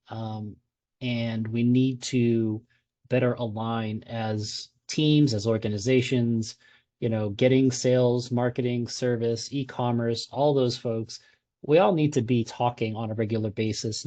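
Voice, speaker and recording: Boston guy giving a talk at a meetup, mellow dark voice, lots of uhs and you knows. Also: medium pitch, steady tone, measured pace. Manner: conversational, frequent fillers and restarts. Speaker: presenter. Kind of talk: presentation talk at a user group meetup.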